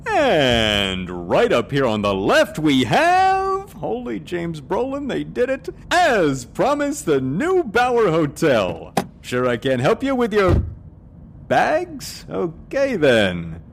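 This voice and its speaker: A man's voice: high-pitched, wildly swooping pitch, slow pace, gravelly, slight background noise, full character voice. A man playing a showy, rambling driver and guide in a comedic audio drama.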